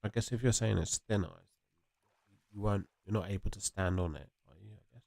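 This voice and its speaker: Gravelly, congested man. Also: fairly low pitch, fairly quick, darkish tone, dry recording. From England. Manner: rambling and chatty, answering viewers. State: congested, sniffly. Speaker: gaming streamer. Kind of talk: casual livestream chat while playing.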